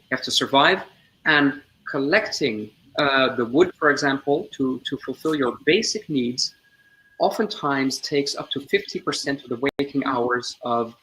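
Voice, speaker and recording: A man's voice: medium pitch, fairly bright tone, rough recording. A man in his forties, born in the Netherlands, telling his story and explaining a point step by step as a guest on a video-call webinar. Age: 40s. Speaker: guest, social entrepreneur.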